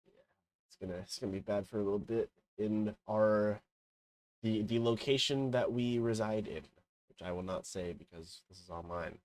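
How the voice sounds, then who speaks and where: Man, congested, low voice, podcast host, dry close sound. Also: moderate pace, no room sound. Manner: relaxed, joking, with many fillers. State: congested, sick. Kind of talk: casual gaming podcast chat.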